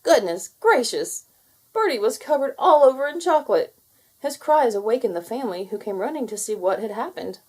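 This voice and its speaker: A woman narrating a children's storybook in an expressive, deliberate read-aloud manner. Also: fairly high pitch.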